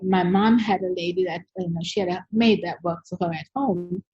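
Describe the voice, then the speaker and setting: An older woman from Sri Lanka with a low, mellow voice and a husky edge. She tells stories in a relaxed, chatty way, full of "you know" and "um". The sound is dry and a little dull. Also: moderate pace, no room sound. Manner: storytelling, frequent fillers. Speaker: guest. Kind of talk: conversational interview.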